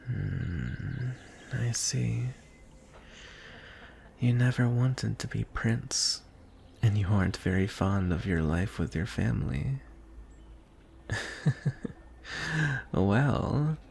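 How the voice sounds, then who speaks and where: A man voicing a lonely, courtly dragon in a fantasy ASMR roleplay, speaking softly and breathily in measured, formal phrases. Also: medium pitch, a little hiss or hum. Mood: pleased, surprised. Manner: soft, hushed, breathy delivery with deliberate pauses. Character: lonely, courtly male dragon wooing a prince.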